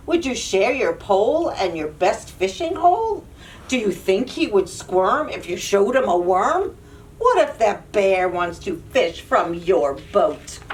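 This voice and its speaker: A woman reading picture books aloud to young children, with an animated, playful, questioning delivery. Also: fairly high pitch. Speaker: children's librarian and storyteller. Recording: distant mic, audible background noise.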